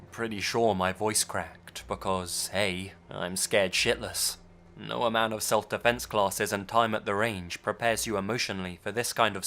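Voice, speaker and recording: Brief: male horror narrator, lowish voice, calm measured storytelling.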